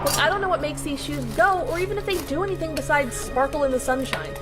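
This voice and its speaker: A woman's voice: high voice, quick pace, close to an everyday voice. A woman playing a headstrong, sarcastic modern girl adventurer, colloquial and exasperated, in a fantasy audio drama. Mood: impatient, searching. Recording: loud music and effects underneath.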